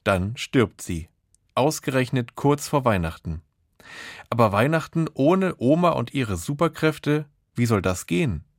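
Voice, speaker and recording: A man's voice: medium pitch, sing-song, unhurried, dry recording, clean studio sound. A man presenting a scripted radio introduction to a story.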